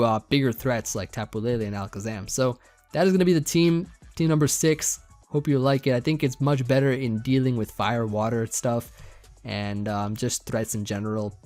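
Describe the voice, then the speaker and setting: A young man casually talking through a team build, rambling with many fillers, his voice getting a bit hoarse. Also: medium pitch, no room sound. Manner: relaxed, rambling, many fillers and restarts, light laughs. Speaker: competitive Pokemon team builder. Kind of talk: casual gaming commentary over team building.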